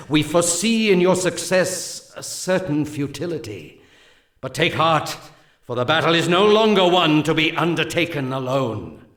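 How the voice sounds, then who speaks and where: A man's voice: on the high side, a bit bright. A man voicing a solemn alien seer commander in a 1999 strategy game, delivering mission briefings in a grave, ominous, formal manner. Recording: echoey, distant game cutscene audio.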